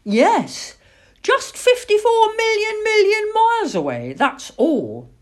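A woman's voice: very high-pitched, huge pitch swings, takes it slowly, voice pushed up, exaggerated voice. A woman voicing a genial, enthusiastic grown man who stargazes, explaining politely and exclaiming.

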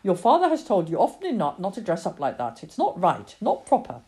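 Woman playing an exasperated mother, sharp and animated. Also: mid-range voice, a little fast. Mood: scolding. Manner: sharp, scolding, emphatic. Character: exasperated, firm farmhouse mother scolding her daughter.

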